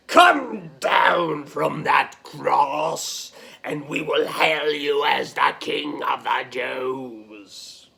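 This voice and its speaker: Gloating male high priest, played by a woman: cruel, sneering, very slow, creaky, for Bible storytelling. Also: pushed and bright, full character voice. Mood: gloating mockery. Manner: drawn-out, laughing, sneering.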